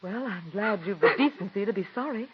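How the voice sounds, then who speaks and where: A woman's voice: mid-range voice, lively intonation, brisk, natural voice. An actress playing a married woman who narrates and confesses a petty trick against a star, in 1940s radio drama style. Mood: soothing. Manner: confiding, wry and self-reproaching in narration. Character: married woman, a theater star's friend, rueful and firm. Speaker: narrator and lead character. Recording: dull old radio broadcast with music.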